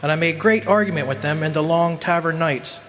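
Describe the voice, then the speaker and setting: A man playing a wistful old soul in a radio drama, remembering his lost love in measured, poetic, solemn narration. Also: a little high, a bit bright, slight background noise, lightly acted. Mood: rueful. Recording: music behind the voice.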